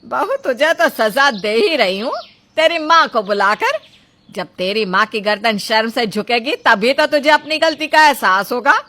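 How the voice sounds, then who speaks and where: A domineering, middle-aged mother-in-law from a moral story, acted by a woman. Her voice is fairly high and piercingly bright. It swings widely in pitch as she scolds and accuses in a theatrical way. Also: lively intonation, a little slow. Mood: angry scolding. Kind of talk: dramatized Hindi moral story acting.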